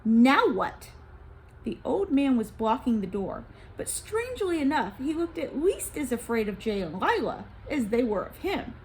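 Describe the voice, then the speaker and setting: A woman reading a story for children in an expressive, dramatic and suspenseful narration. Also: high-pitched, normal speed, a little hiss or hum, poor audio.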